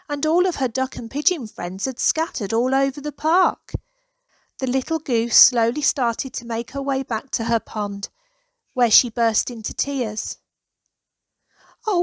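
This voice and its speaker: Female children's storybook narrator, higher register, expressive and deliberate delivery, dry close sound. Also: no echo. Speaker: author reading own children's story.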